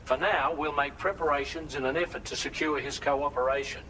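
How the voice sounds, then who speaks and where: An actor playing an earnest, formal male officer giving a measured, precise briefing in a video game. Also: on the high side, fairly even intonation, sharp tone, far from the mic, a little hiss or hum, poor audio. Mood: grave, businesslike. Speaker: mission commander in a game.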